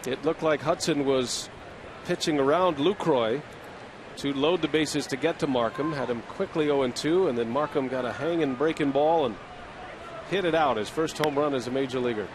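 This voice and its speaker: A man calling a baseball game play-by-play in a steady, measured broadcast style. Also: mid-range voice, background noise. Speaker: play-by-play announcer.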